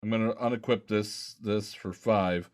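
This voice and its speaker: A man's voice: lowish voice, fairly even intonation, unhurried, a little mellow. A man co-hosting a gaming podcast, chatting casually and thinking aloud as he tries things out in a game. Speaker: podcast co-host.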